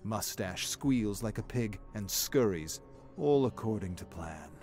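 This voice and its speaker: A man giving measured, theatrical narration of a dark, dramatic scripted story. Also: fairly low pitch, lively intonation, soft background music.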